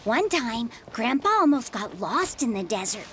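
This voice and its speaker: A woman's voice: fairly high pitch, big pitch swings, unhurried. A woman voicing an eager little village boy in a video game. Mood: proud. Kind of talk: video game cutscene acting. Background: music.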